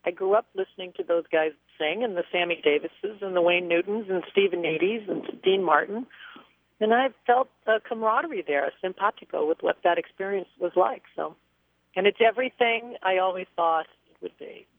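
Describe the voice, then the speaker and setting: A woman's voice: medium pitch, fairly quick. A woman singer telling stories as a guest in a radio interview, chatty and reflective, heard over a phone line.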